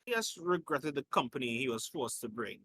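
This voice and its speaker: A man's voice: mid-range voice, expressive, a little fast, a bit bright, breathy edge, no echo. A man reading a story aloud in an informal way, as a host who slips in his own asides and corrections.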